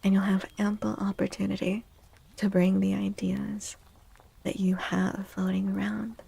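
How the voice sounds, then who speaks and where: A woman livestream host speaking in a soft, gentle ASMR style, often half-whispering in a breathy, soothing and affectionate way. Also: mid-range voice, completely flat delivery, unhurried. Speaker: livestream host doing tarot readings.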